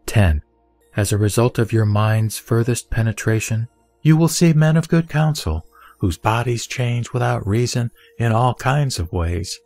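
A man reading a translated Buddhist sutra aloud in a measured, deliberate way. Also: mid-range voice, lively intonation, vocal fry, no room sound. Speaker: scripture reader. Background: soft background music.

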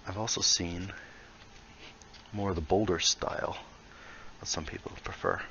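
A man's voice: sits low, fairly even intonation, a little slow, creaky, bright, forceful tone, lo-fi sound, a little hiss or hum. A man casually explaining how he builds in a VR game, thinking aloud and muttering small asides as he works. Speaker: VR building tutorial creator. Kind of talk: casual tutorial while building in VR.

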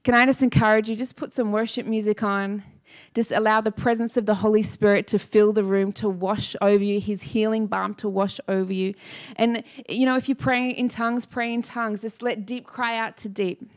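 A woman in her thirties preaching a sermon on a church stage into a handheld mic, energetic and emphatic, repeating key lines. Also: mid-range voice, normal speed. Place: church stage with dark blue lighting. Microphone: handheld microphone.

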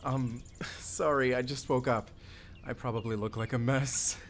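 A man acts out an earnest young man in love, hurt and pleading. His voice sits at an average male pitch. It is an intimate audio roleplay with faint ambient sound behind him. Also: steady tone, unhurried, slight background noise, lightly acted. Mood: sleepy, friendly.

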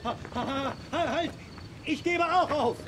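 A man's voice: very high-pitched, takes it slowly, exaggerated voice. A man playing an irritable, scornful outlaw gang leader in a western children's audio drama. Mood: alarmed, furious. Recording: clear outdoor background noise.

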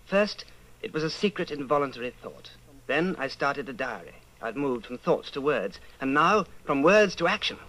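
An actor playing an earnest, fatalistic secret rebel in an old-time radio drama. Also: male voice, mid-range voice, expressive, normal speed, pushed and bright, slight background noise, rough recording. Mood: intense, fatalistic.

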